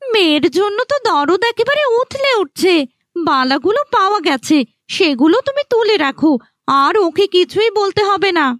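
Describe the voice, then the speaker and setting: A woman's voice: very high-pitched, lively intonation, normal speed, a bit bright, no echo, clean studio sound. A woman voicing a vain, spiteful young village stepsister in a cartoon, haughty and scheming. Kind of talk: cartoon voice acting.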